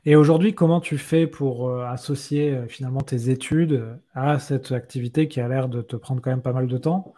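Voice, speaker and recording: Relaxed, mellow male podcast interviewer. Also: medium pitch, moderate pace, few pauses. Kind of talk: casual conversational interviewing.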